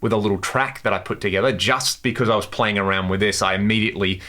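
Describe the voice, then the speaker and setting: A man's voice: fairly low pitch, steady tone, quick pace, bright, forceful tone. A man hosting a casual guitar gear tutorial, talking through settings with enthusiasm. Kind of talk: casual instructional demo to camera.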